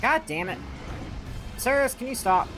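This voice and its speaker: A man's voice: very high-pitched, lively intonation, moderate pace, over music. A young man with a youthful-sounding voice, chatting casually and rambling as he livestreams a video game, informal and free with swearing. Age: young adult. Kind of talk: casual gaming commentary and chat.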